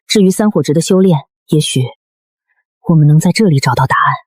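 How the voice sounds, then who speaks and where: An earnest, studious male researcher from an animated drama, played by an actor. He explains at a quick clip, with a dry, close sound and no room around it. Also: a little high, dry recording, natural voice. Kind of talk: animated web drama dialogue.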